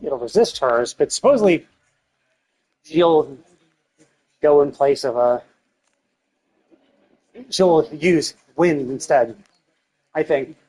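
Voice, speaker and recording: A man's voice: fairly high pitch, animated, slow pace, long pauses, darkish tone, slight background noise, poor audio. A man streaming video games and chatting casually, thinking aloud in a rambling way. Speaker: video game livestreamer.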